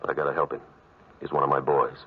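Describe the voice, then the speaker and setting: An actor playing a towering, solemn priest in a vintage radio drama, with a very deep voice and a grave, firm manner. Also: male voice, little pitch movement, fast, a little hiss or hum. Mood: earnest, firm. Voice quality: very deep, bassoon-like. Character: towering, solemn, stern priest with a plain-spoken will. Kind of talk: 1940s radio drama acting. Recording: muffled old radio recording.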